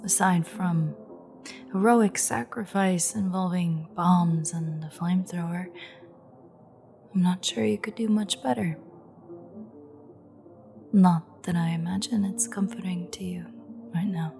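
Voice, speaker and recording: A woman's voice: fairly low pitch, takes it slowly, vocal fry, pauses often, warm tone, faint music behind. A woman playing a sardonic, threatening female vampire with a secret fondness, in a wry, teasing, half-whispered audio roleplay. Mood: wry, wistful. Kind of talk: intimate audio roleplay acting.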